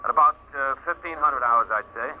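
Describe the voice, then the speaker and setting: An actor playing a formal, hesitant spaceship captain in 1950s radio drama style, heard through a noisy radio transmission. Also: male voice, medium pitch, a little fast, phone-quality sound. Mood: awkward.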